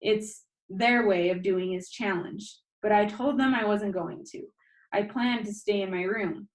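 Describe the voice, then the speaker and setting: A woman's voice: medium pitch, measured pace, roomy sound, close to an everyday voice, rough recording. A woman voicing a guarded, sad but kind schoolgirl in a storybook, terse and firm. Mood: reluctant agreement.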